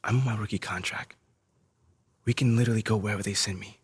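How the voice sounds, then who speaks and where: A man playing a frustrated young rookie basketball player in a video game scene, with a husky, conversational, defensive delivery. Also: on the low side, steady tone, fast, no room sound. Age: young adult. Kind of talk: naturalistic video game cutscene acting.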